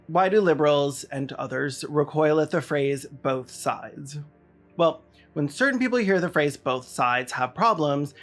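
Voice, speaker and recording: Emphatic commentator with music underneath. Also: mid-range voice. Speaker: video essayist host. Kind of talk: scripted political commentary.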